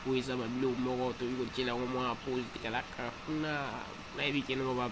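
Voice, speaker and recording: A man's voice: mid-range voice, level tone, brisk, lightly acted. A man voicing a chatty cartoon character who rambles and repeats himself, mixing in French, on a noisy amateur recording. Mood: rambling, reassuring. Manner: rambling, repetitive, mixes French into speech. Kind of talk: improvised-sounding dialogue in an amateur cartoon.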